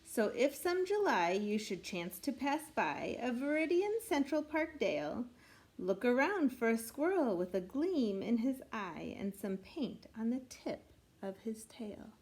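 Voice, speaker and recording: Lady doing a kids rhyming story read-aloud, voice on the high side, expressive and measured. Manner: measured, storytelling delivery addressed to children. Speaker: storybook reader.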